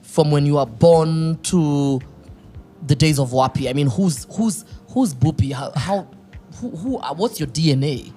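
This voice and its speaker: A man's voice: a little high, a little slow, warm tone, soft background music. A man with a Kenyan accent hosting a podcast interview in a casual, playful way, often repeating phrases for emphasis. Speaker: podcast host.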